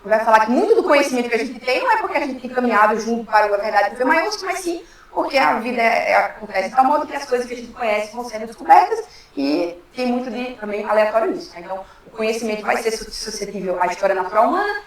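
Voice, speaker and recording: Female Brazilian academic speaker, high-pitched and quick, informal explainer with frequent check-in tags. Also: expressive. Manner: informal, frequent tag questions seeking assent. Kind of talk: explanatory academic talk with slides. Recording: very echoey room, distant microphone.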